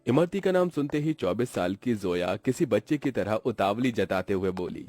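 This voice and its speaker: A man with a fairly low voice delivers scripted cartoon story narration. The sound is dry, with little room echo. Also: expressive, moderate pace, a little mellow, soft background music.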